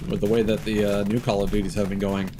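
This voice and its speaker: A man's voice: on the low side, little pitch movement, quick pace, noisy background. A man in his thirties chatting casually and bluntly as he livestreams a video game. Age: 30s. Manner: opinionated, blunt, casual swearing. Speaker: game live streamer. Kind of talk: casual livestream chat over gameplay. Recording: music behind the voice. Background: game music.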